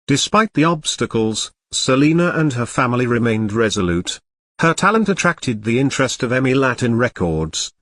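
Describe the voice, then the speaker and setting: Male documentary narrator, mid-range pitch, measured scripted read, dry close sound. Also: a bit bright, no echo.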